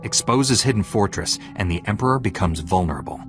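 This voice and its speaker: A man's voice: lowish voice, expressive, quick pace, natural voice. An actor voicing a determined male Jedi knight in a video game, speaking with firm resolve. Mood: resolute. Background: music.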